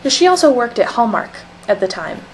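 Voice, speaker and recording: A woman's voice: fairly high pitch, sing-song, a little fast. Young woman vlogging over noisy webcam audio. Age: young adult. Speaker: vlogger in a collaborative channel. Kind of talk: casual chat to camera. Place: small indoor room at home. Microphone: webcam or laptop mic at desk distance.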